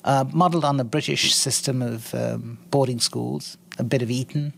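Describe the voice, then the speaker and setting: A man in his sixties from India, a guest in an interview, speaking in an articulate, measured way with frequent ums and restarts. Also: mid-range voice, steady tone, creaky, dry recording. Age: 60s. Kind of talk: interview conversation.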